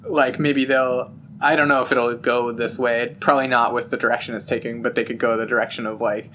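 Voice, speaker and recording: A young man co-hosting a casual chat about a TV episode, relaxed and agreeable, often answering with short affirmations. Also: mid-range voice, a little fast, slight background noise. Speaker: podcast co-host.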